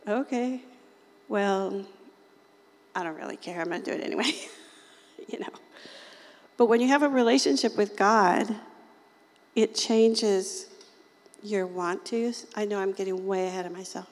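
Raspy woman preacher, folksy and chatty, talking to a small group in a hall with a long echo. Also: medium pitch, measured pace, long pauses. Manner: chatty, folksy, often laughs and acts out quoted voices. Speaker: lay preacher. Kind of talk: informal sermon to a small group.

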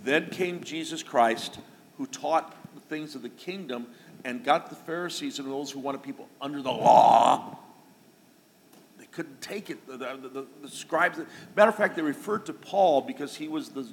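A man's voice: medium pitch, normal speed, creaky. A middle-aged man preaching a sermon to his church, talking in a conversational, folksy way with asides and small jokes. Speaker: pastor. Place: church sanctuary. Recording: long reverb of a church hall, faint noise.

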